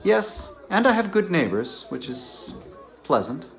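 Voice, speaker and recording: A man's voice: high voice, sing-song, a little slow, creaky, a bit bright, soft background music, full character voice. A man playing a reserved, naive academic bachelor in a dramatized novel, mild and donnish. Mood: mild, earnest.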